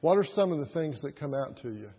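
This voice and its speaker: A man leads a Bible study, asking the class questions and calling on readers. His voice is husky and slightly high, and he restarts his sentences now and then. The sound is thin and lo-fi, with a long hall reverb. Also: expressive. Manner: prompting questions, halting restarts.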